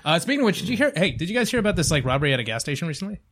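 A man's voice: on the high side, very animated, racing, fairly bright tone. A man, a comedian, teasing his friends and laughing often in casual podcast banter.

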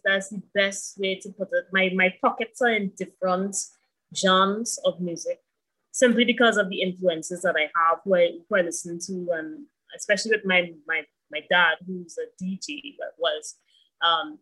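A woman's voice: on the low side, fairly even intonation, normal speed, pushed and bright, rough recording. A woman in her thirties, a musician talking thoughtfully about herself as a guest in a casual interview. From Trinidad and Tobago.

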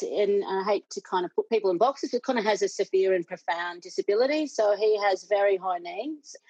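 A woman's voice: mid-range voice, darkish tone, dry recording, rough recording. A middle-aged Australian woman, a parent and board member, speaking earnestly in an interview with many restarts. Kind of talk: conversational interview.

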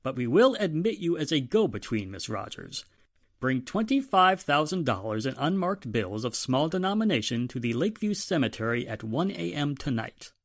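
A man's voice: a little high, very animated, normal speed, dry recording, clean studio sound. A man playing an earnest, take-charge police inspector in a 1940s-style radio drama. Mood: grave, firm.

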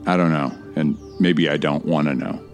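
A man's voice: very deep voice, steady tone, moderate pace. Haunted, wry man, bottom-of-the-range voice, reflective audio drama monologue with music underneath. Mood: bitter, troubled. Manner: reflective, sardonic, profane asides. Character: Jake Fisher, wry, haunted man recounting wildfires.

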